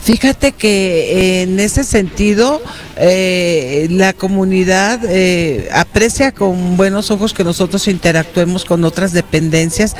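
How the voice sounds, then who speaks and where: A woman with a Mexican accent answering as an interview guest, explaining things in a measured way. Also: on the low side, slight background noise. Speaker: institute director, interview guest.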